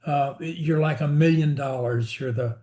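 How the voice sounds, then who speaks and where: A man's voice: on the high side, measured pace, dark tone. A man who coaches the group, speaking casually on a video call and heaping praise on the members. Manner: effusive and full of praise. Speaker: speech coach.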